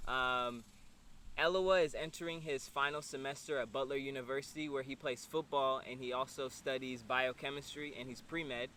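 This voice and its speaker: A man's voice: mid-range voice, fairly even intonation, moderate pace, bright, forceful tone. A man, hosting a casual interview. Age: 20s. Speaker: interview host. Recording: clear background noise.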